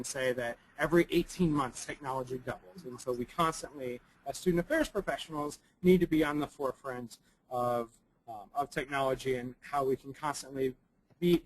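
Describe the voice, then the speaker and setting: A young man with a slightly raspy voice from a cold gives a conference presentation, halting often with ums and restarts. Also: medium pitch, rough recording.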